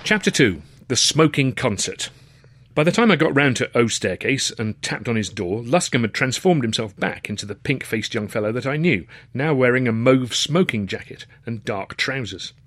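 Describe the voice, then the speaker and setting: A man with an English accent reading a first-person story in a wry, dryly humorous way, slightly husky. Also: medium pitch, expressive, moderate pace, a bit bright, no room sound. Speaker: author reading his own novel.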